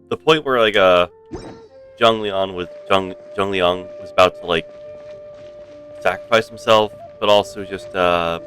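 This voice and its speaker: A man streaming a game, chatting casually and haltingly as he goes, with pauses to find his words. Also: low voice, a bit bright.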